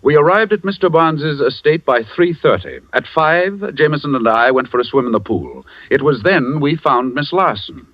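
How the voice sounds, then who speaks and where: An actor playing a suave, unflappable gentleman detective in a 1940s radio drama, with wry, polished diction. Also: fairly high pitch, animated, moderate pace, hardly any pauses, no room sound, close to an everyday voice. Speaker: detective lead. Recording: dull lo-fi old radio recording.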